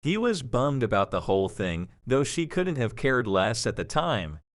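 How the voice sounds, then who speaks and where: A male narrator reads a scripted story with an expressive, varied delivery. His voice sits at a medium pitch. The recording is dry, with no room sound. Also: moderate pace, dry recording.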